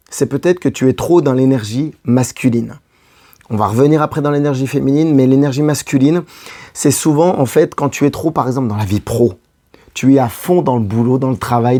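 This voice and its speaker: Hoarse male love coach, mellow-toned, chatty direct-to-camera advice. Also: medium pitch. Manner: addresses the listener directly, repeating key phrases. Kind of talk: casual advice monologue to camera.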